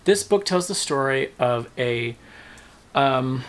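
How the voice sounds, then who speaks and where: A man's voice: medium pitch, animated, slow pace. A man talking casually and emphatically in a book review vlog, often musing aloud and second-guessing himself.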